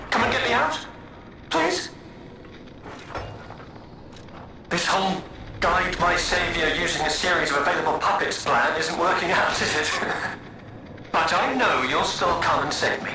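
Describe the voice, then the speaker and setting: A vain, theatrical alchemist from a fantasy game. His voice is on the high side and swoops expressively, full of flattery and playful self-pity. It rings with heavy echo over loud background noise. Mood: plaintive, hopeful. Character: vain, theatrical, charming male alchemist speaking through puppets. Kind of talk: dramatic video game dialogue.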